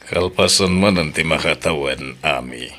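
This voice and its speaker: A man's voice: rumbling low, moderate pace, a bit bright. A man playing husband, boss and father roles in a radio drama, earnest and intimate. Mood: wooing. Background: background music.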